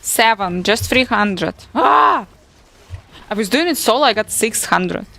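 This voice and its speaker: A woman's voice: fairly high pitch, big pitch swings, a little slow, gravelly, sharp tone. A young woman from Moldova whose first language is Russian, chatting bluntly and swearing casually while she livestreams a game. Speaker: streamer. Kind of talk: casual live gaming stream chat.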